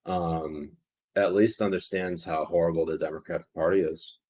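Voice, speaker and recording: A man's voice: sits low, fairly even intonation, normal speed, darkish tone. A man co-hosting a casual news podcast, rambling, profane and joking. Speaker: podcast co-host.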